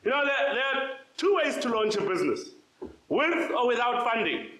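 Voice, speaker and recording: A man giving a loud, emphatic conference talk as a social investment practitioner. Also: very high voice, sing-song, normal speed, reverberant, low-quality recording. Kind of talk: conference keynote speech. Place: conference venue.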